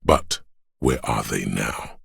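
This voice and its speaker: A man narrating a game script in a measured, grave, literary manner, with a very deep, gravelly, husky voice. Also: fairly bright tone, no echo, studio quality.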